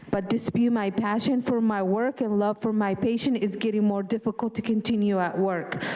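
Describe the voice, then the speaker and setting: A woman's voice: medium pitch, dark tone, rough recording. A middle-aged woman from Lebanon, giving an earnest public comment with small grammar slips. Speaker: public commenter, nursing assistant.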